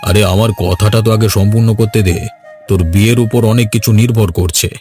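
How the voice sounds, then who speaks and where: A man voicing an earnest, middle-aged village father in a cartoon, speaking in a measured, persuasive way. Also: lowish voice, steady tone, mellow, close to an everyday voice. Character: earnest village father planning his son's wedding. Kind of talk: cartoon voice acting in a family drama. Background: background music.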